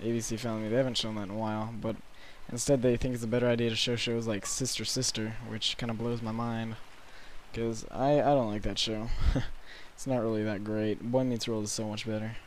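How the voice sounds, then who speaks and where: Hoarse eighteen-year-old man rambling. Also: lowish voice, little pitch movement, a little hiss or hum. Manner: rambling, halting with many fillers. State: hoarse, sore throat. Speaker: gaming commentator. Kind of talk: casual gameplay commentary. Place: bedroom at night, alone.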